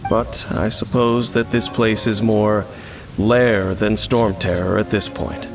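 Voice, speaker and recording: A mysterious wandering swordsman in a fantasy game, with a low, measured voice and formal, solemn phrasing. Game music and rain sound behind him. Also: steady tone. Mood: grave. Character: grave, mysterious wandering swordsman, adult man.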